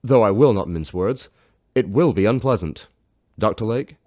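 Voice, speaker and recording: An actor playing a serious science professor in a sci-fi radio drama, formal and deliberate. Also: male voice, fairly low pitch, animated, darkish tone, dry recording, close to an everyday voice. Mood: grave, measured.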